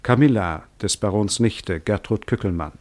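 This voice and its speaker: A man reading formal scripted credits as a radio announcer, in a measured, precise manner. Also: fairly low pitch, a little mellow.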